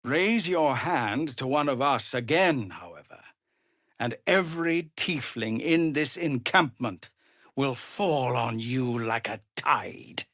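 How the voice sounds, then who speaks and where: A middle-aged tiefling leader of refugees, grave, dutiful and commanding. His delivery is formal and unhurried, with a mid-pitched voice that swings expressively. It is dramatic video game acting, recorded very dry. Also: animated, a bit bright, no echo. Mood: weary, firm. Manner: formal, measured, earnest, commanding.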